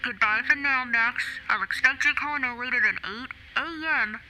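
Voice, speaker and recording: A woman's voice: high-pitched, moderate pace, sharp tone, a little hiss or hum. An actress playing an impatient, blunt woman boss on a phone call in an audio drama. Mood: brisk, firm. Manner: blunt, sardonic, businesslike.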